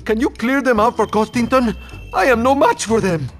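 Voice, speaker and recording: A man voicing an anxious, effusive butler in a cartoon video game, with a Spanish accent and an excitable, melodramatic manner. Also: very high voice, moderate pace, over music. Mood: relieved, pleading. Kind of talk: animated video game character acting.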